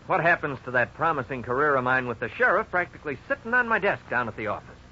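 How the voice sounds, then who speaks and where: An anxious, earnest young husband in a 1950s radio drama. His voice is bright and forceful at a middle pitch, with troubled hesitations. The old radio recording is dull, with clear background noise. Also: lively intonation, quick pace. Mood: alarmed, resolute. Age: 20s. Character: worried young debtor and husband, twenty-six, anxious but earnest.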